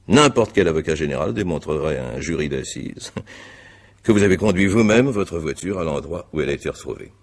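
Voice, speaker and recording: Stern magistrate, gravelly, animated intonation, formal interrogation, radio mystery drama. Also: male voice, extremely low pitch, heavily acted. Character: stern, methodical male examining magistrate.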